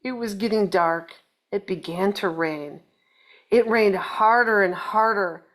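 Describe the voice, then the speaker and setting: A woman's voice: mid-range voice, slow, fairly bright tone. A woman reading a children's picture book aloud, playfully chatting to young listeners in little asides. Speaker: storybook reader.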